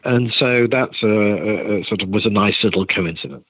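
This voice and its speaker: Low husky older man on tinny line. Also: moderate pace. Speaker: theatre director being interviewed. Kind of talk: conversational interview answers. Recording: tinny phone-like line, roomy echo.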